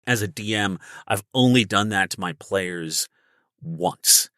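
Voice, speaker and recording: Middle-aged male podcast guest, forceful tone, dry close sound, chatty anecdotal storytelling. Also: fairly low pitch, takes it slowly, no echo. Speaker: podcast guest, professional actor. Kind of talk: casual anecdotal chat.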